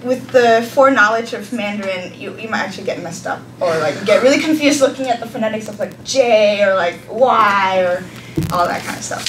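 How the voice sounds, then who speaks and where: Young woman teaching in an echoey room. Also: on the high side. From Hong Kong. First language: Cantonese. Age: young adult. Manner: hesitant, lots of ums, light laughs. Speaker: volunteer language teacher. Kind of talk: live classroom lesson. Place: classroom. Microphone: far camera. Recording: echoey room from far off, noisy.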